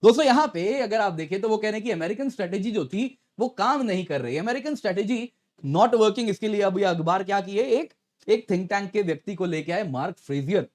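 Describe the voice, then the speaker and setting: A man's voice: high-pitched, animated, a little fast, darkish tone. A man presenting current affairs as an educator, conversational, addressing viewers directly and posing rhetorical questions. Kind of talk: explanatory news commentary to viewers.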